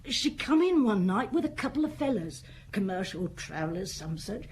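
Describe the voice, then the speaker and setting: An actress playing a blunt, sociable middle-aged widow who keeps a small bar, talking plainly and colloquially in a radio play. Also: female voice, mid-range voice, sing-song, normal speed, a little mellow, a little hiss or hum. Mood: matter-of-fact. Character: fat, sociable, blunt older widowed woman bar keeper. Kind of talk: radio drama acting.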